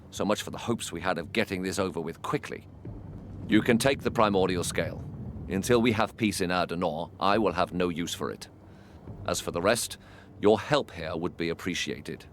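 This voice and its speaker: An actor playing a battle-weary knight commander in a fantasy game, with a commanding, martial way of speaking. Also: male voice, low-pitched. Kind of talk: scripted fantasy game cutscene acting.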